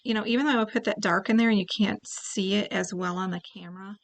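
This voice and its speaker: A woman's voice: medium pitch, fairly even intonation, fast, creaky. Chatty woman teaching a painting class. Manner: chatty, thinks aloud while painting. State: keeps clearing her throat. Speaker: painting instructor. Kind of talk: relaxed paint-along tutorial.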